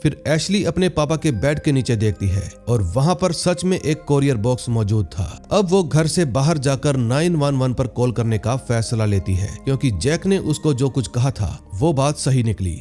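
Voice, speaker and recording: A man's voice: medium pitch, expressive, normal speed, hardly any pauses, dark tone. A man narrating a scripted movie recap in a storytelling style, with music behind him. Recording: music bed under voice. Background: background music.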